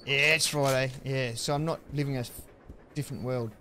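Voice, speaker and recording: A man in his thirties with an Australian accent, chatting casually with viewers while streaming a game on a headset mic, relaxed and chatty with sudden loud outbursts. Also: mid-range voice, a little fast, slight background noise. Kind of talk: casual live-stream chat while gaming.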